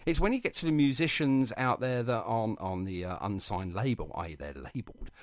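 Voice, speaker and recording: A man's voice: fairly low pitch, fairly quick, dry recording. A man hosting a live radio interview in a chatty, effusive, rambling and anecdotal way. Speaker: radio show host.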